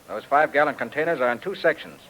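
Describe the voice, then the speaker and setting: An actor playing a shrewd, assured American private detective in an old-time radio drama, explaining things crisply. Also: male voice, medium pitch, expressive, quick pace, fairly bright tone, rough recording. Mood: confident, commanding. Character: shrewd, brisk, commanding male private detective. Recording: old radio show recording.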